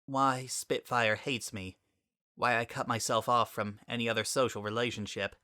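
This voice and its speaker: A bright-toned, weary young mare from a cartoon pony story, acted out by a man, guarded and wry as she speaks. The sound is dry and close. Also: mid-range voice, flat delivery, normal speed, no echo, close to an everyday voice. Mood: somber.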